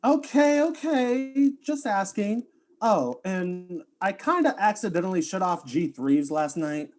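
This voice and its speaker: A woman's voice: medium pitch, lively intonation, measured pace, a little mellow, natural voice. A woman playing a shameless livestreaming influencer in a comic mystery, slangy and self-absorbed. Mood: sheepish.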